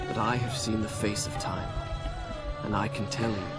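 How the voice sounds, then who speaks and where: An actor playing a proud, glory-hungry young Persian prince, telling his tale in measured, formal, dramatic narration for a video game. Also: on the low side, little pitch movement, close to an everyday voice. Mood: solemn, mysterious. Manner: measured, solemn, formal storyteller. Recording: loud music under the voice.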